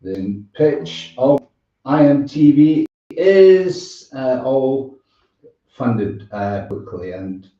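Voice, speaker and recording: A man's voice: medium pitch, very animated, very slow, dark tone. A man casually hosting a live online music show, with a hesitant, meandering delivery. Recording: muffled, echoey, far from mic.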